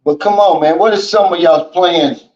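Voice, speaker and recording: A man hosting a casual livestream, reading out viewer comments and chatting in a relaxed, folksy way, often saying "man" and "you know". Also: high voice, moderate pace. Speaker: livestream host. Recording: roomy and dull, lo-fi.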